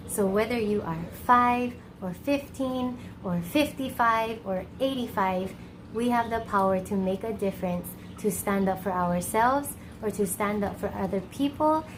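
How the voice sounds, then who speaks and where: A woman from Hawaii hosting a children's storytime, expressive and encouraging, speaking colloquially to young listeners. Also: mid-range voice, background noise.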